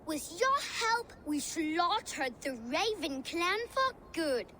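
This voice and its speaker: A woman's voice: very high-pitched, big pitch swings, takes it slowly, bright, forceful tone, a little hiss or hum, exaggerated voice. A female performer playing an eager, boastful child who plays at Viking raiding in a video game. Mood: proud. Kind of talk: dramatic video game acting.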